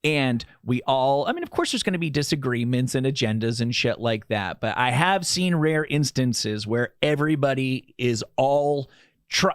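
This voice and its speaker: A man with a mid-pitched voice co-hosts a talk show in casual, candid conversation. He feels his way toward each point, stopping and restarting phrases. The recording is dry and close, free of room sound. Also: no echo.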